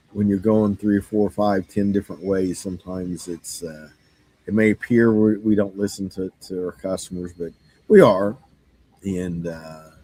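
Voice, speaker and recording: Hoarse deep-voiced man rambling casually. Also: warm tone, low-quality recording. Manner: halting, many fillers and restarts. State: hoarse, losing his voice. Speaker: game store owner. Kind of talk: casual monologue.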